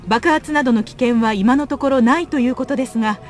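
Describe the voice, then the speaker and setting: A woman playing a formal, brisk female TV news reporter in a video game. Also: on the high side, soft background music, natural voice. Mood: formal, urgent. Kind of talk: scripted Japanese news report.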